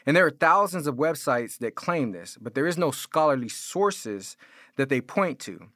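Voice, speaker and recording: A man's voice: a little high, animated, moderate pace, a bit bright, no room sound, studio quality. An American man preaching as a pastor, explaining and arguing his points in an informal, conversational way. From California. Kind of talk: sermon, explanatory apologetics teaching.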